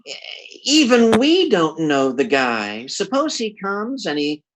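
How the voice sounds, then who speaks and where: A man acts out a bumbling everyman schemer from an old-time radio comedy. He talks slowly and folksily, stammering and blustering, with pitch that swings about freely. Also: wildly swooping pitch, a bit bright, full character voice, poor audio. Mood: flustered scheming. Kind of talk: classic radio comedy acting.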